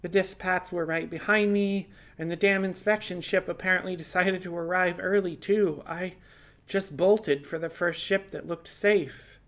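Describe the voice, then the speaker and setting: A man's voice: high voice, fairly even intonation, normal speed, a bit bright, voice pushed up. A man voicing a defiant, earnest young female hacker on the run in an audiobook, explaining herself with wry asides. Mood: rueful, agitated. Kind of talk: audiobook character dialogue.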